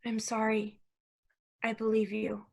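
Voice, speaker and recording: A woman's voice: on the high side, monotone, a little slow, creaky. A woman reading the part of an emotional, hesitant, guilt-ridden young woman in a stage play. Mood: subdued, shaken.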